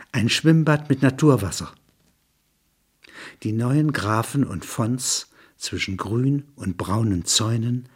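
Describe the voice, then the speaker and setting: Low, very raspy male narrator. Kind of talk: scripted documentary-like narration.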